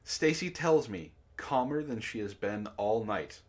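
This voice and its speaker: A man's voice: fairly low pitch, lively intonation, unhurried. A man hosting a read-aloud, narrating casually and breaking off for sardonic, profane asides. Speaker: book club host reading a story aloud with commentary.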